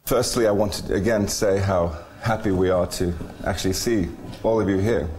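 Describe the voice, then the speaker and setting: Man, lowish pitch, welcome speech, organizer, hesitant pauses. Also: steady tone, darkish tone, a little hiss or hum. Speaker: project organizer.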